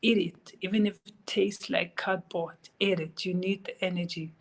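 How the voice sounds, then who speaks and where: A woman's voice: lowish voice, steady tone, normal speed. A woman with a South African accent, recovering from illness and giving earnest, repeated, pleading advice as an interview guest. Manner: urgent, pleading advice with repetition. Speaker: guest sharing her recovery story. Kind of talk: earnest personal testimony.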